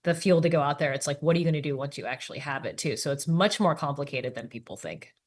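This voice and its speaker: A woman science podcaster talking casually in an interview, explaining with informal, halting phrasing and asides. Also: deep voice.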